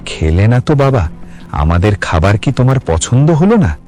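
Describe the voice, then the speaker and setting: Man voicing an older gentleman ghost chief over music. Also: on the low side, sing-song, moderate pace. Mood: calm, sinister.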